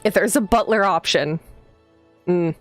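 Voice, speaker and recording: A woman's voice: on the low side, a little slow. A woman streaming a visual novel, reading aloud and reacting casually with chatty asides. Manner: chatty asides, stumbles over unfamiliar words. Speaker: VTuber game streamer, host. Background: game music.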